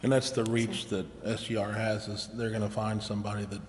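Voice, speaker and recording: A man's voice: on the low side, flat delivery, fairly quick. An American man giving matter-of-fact remarks as a council member in a public meeting. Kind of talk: deliberative public meeting discussion. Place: indoor council chamber. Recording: echoey meeting chamber with noticeable background noise.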